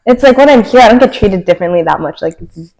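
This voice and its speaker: A woman's voice: medium pitch, expressive, quick pace, creaky, darkish tone, low-quality recording. A young woman from Canada with a Canadian accent, a podcast guest talking casually, filling her speech with many "like"s and laughing often. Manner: chatty, filler-heavy with many likes, laughs often.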